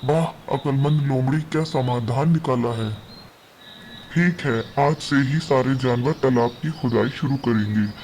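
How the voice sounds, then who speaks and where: A man's voice: medium pitch, measured pace, a little mellow, close to an everyday voice. A man playing an authoritative lion king in a children's cartoon story, speaking formally in regal declarations. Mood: commanding, approving. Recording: dull lo-fi sound, faint background noise.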